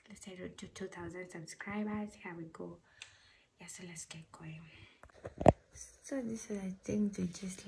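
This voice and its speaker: A woman vlogger, croaky from just waking up, talking in a soft half-whisper with lots of "like" fillers in a casual voice-over from her home kitchen. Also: lowish voice, slight background noise. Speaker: lifestyle vlogger.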